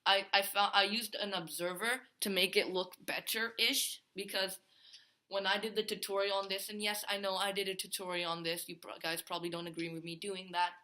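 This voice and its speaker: A man's voice: sits high, little pitch movement, fairly quick, sharp tone. A male gaming host giving a casual, rambling tour of a Minecraft build, full of fillers and restarts.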